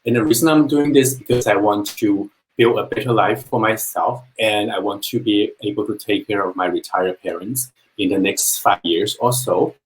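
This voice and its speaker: Earnest man from China, lower voice. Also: normal speed, few pauses, poor audio. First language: Chinese. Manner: earnest, plain-spoken storytelling with small restarts. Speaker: business owner sharing his story. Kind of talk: personal testimonial on a live webinar.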